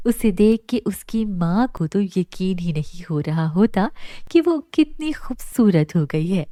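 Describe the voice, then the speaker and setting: Slow-paced woman narrating a children's story. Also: mid-range voice, dark tone, no room sound, clean studio sound.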